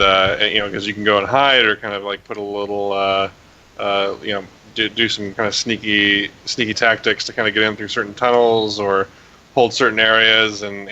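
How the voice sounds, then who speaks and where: A tired game developer, a man whose voice is a little raspy, rambling with many fillers as a guest on a call. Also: fairly low pitch, sharp tone. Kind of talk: casual interview over call. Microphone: remote voice call. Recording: dull hissy call audio.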